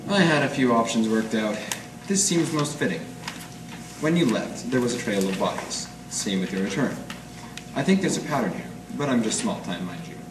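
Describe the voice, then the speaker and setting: A man's voice: medium pitch, lively intonation, normal speed, natural voice. A man playing a world-weary, cynical small-time detective in 1940s radio noir style, with wry, clipped hard-boiled slang. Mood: wry, guarded. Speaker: amateur stage radio-drama actor. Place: live performance in an art gallery. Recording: distant mic in echoey noisy room.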